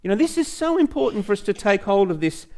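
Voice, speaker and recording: A man's voice: high-pitched, big pitch swings, fast, dark tone. A man preaching a teaching sermon in a conversational way, repeating phrases for emphasis and putting rhetorical questions to the listener.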